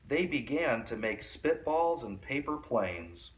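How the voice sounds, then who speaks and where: Animated, unhurried man reading a storybook. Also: medium pitch, distant mic, low-quality recording. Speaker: read-aloud storyteller. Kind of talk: animated picture-book reading.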